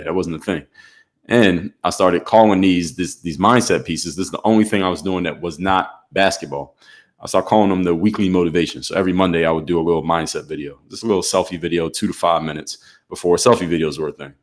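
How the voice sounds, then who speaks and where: A man in his forties from Philadelphia, a former pro basketball player, telling his story in a podcast interview in a steady, measured way. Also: deep voice.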